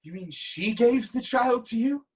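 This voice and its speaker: A man's voice: extremely high pitch, expressive, unhurried, breathy edge, heavily acted. An actor playing a proud, anguished king in a theatrical reading of Greek tragedy. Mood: demanding.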